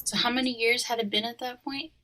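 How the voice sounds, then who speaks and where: A woman's voice: a little high, fairly even intonation, quick pace, pushed and bright. A woman co-hosting a podcast, giving short, casual reactions as she listens to a story.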